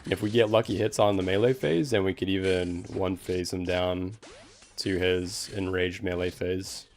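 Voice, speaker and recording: A man's voice: deep voice, fairly even intonation, moderate pace, a little mellow, faint music behind. A young man streaming a game, giving easygoing commentary full of "like" fillers and answering chat questions. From Canada. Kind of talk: casual gameplay commentary.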